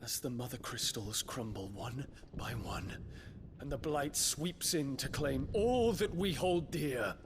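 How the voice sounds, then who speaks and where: A man's voice: medium pitch, lively intonation, slow. A man playing an earnest, rebellious young prince in a video game, speaking in a solemn, formal, oratorical manner. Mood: solemn, accusing. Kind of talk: dramatic video game voice acting. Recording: clear background noise of scene ambience.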